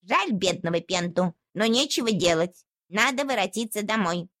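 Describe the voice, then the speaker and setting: A woman voicing a sensible, cautious female duck who gently urges everyone to turn back. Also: mid-range voice, animated, moderate pace, close to an everyday voice. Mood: resigned. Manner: soft, resigned, persuading.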